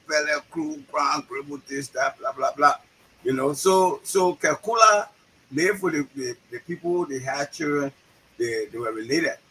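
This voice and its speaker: A man in his sixties with a Liberian accent, a playwright telling stories in an interview, animated and often repeating words. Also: fairly high pitch, a little hiss or hum, rough recording. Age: 60s. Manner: animated, repeats words, acts out quoted voices. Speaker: guest, playwright. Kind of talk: storytelling interview talk.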